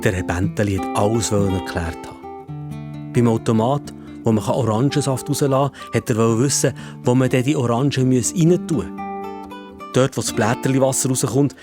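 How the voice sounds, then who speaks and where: A man's voice: mid-range voice, normal speed, music in the background. A man with a Swiss accent telling a scripted story for children as narrator.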